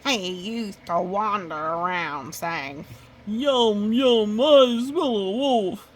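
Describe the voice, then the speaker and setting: A woman's voice: mid-range voice, drawn-out words. A woman voicing a small male goose from a storybook, earnest and emphatic as he spins a tall tale. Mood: ominous storytelling.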